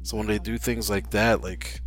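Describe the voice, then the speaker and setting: Male co-host, slightly low voice, informal and matter-of-fact chat over faint music. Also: flat delivery, normal speed. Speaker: podcast co-host. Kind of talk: casual podcast chat. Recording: background music under the voice.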